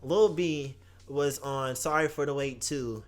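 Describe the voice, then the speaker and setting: A man's voice: mid-range voice, a little slow. An American man hosting a casual radio conversation, talking in a slangy, profane, joking way. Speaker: radio show host. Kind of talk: casual banter interview about hip hop. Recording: music playing behind the voice.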